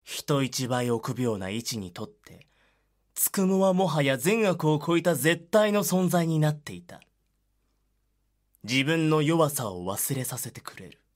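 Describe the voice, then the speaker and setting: A man giving dramatic scripted narration as the narrator of an audio drama. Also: a little high.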